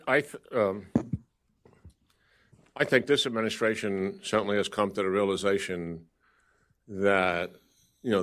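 A man, a government official, answering questions at a press briefing in a measured way, restarting his sentences now and then. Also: on the low side.

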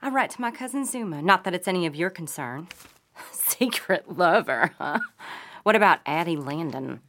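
An actress with a Southern US accent playing a guarded, sharp-tongued young married woman from the 1930s in an old-time radio drama. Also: on the low side, animated, moderate pace. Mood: tart, guarded. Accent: American South.

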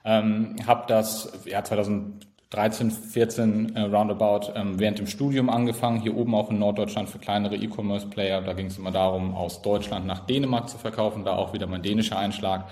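A man's voice: on the low side, flat delivery, a little fast, hardly any pauses, a little mellow. A man in his thirties from northern Germany with a northern German accent, a guest in a casual interview, talking with many fillers and restarts. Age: 30s.

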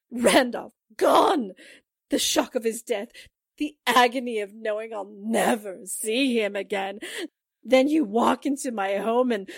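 Anguished widowed mother, played by a woman, slow-spoken, melodramatic 1940s radio style, dry studio sound. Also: a little high, breathy edge, no room sound, heavily acted. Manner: melodramatic, pleading. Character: anguished widowed mother defending her son.

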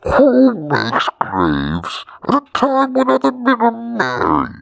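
A man voicing a malicious, mocking goblin in a story, loud and leering, with taunting, drawn-out theatrical delivery. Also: extremely high pitch, wildly swooping pitch, gravelly, dry recording, clean studio sound, pitched above the performer's own voice, full character voice. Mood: accusing, malicious.

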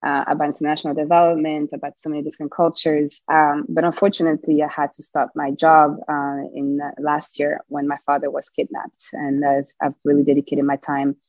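Earnest guest in her twenties from Rwanda; low, mellow voice; dry, slightly dull recording. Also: completely flat delivery, no echo. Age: 20s. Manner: earnest, halting with restarts. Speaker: podcast guest. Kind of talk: interview answers.

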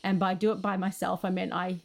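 Low-pitched Australian woman, enthusiastic storyteller. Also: little pitch movement, a little fast, creaky. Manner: enthusiastic, emphatic, anecdotal. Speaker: screenwriter and actor, interview guest. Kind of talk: conversational interview storytelling.

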